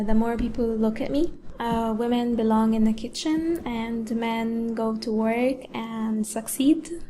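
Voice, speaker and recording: A woman from Egypt, a pianist and educator, giving a prepared speech in a measured way with deliberate pauses. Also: a little high, a little mellow, a little hiss or hum. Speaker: award-winning pianist and educator giving a talk.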